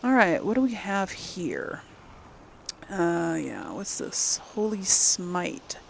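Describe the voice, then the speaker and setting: A woman's voice: on the low side, huge pitch swings, takes it slowly, creaky, a little hiss or hum. A woman hosting a casual gaming let's-play, chatting and thinking aloud as she plays. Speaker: gaming channel host playing through a game.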